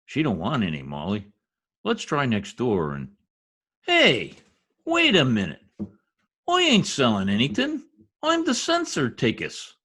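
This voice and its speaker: A man's voice: medium pitch, wildly swooping pitch, measured pace, pauses often, bright, forceful tone, husky, full character voice. A man playing a folksy, bumbling middle-aged American husband in a radio comedy, slangy and pompous. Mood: blustering. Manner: slangy, pompous, mangles words. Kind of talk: old-time radio comedy acting.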